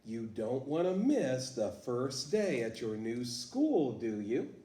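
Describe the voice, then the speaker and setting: A man reading a gentle coaxing dad. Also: medium pitch, very animated, takes it slowly. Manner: soft and coaxing. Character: patient, gently coaxing father. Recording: echoey room.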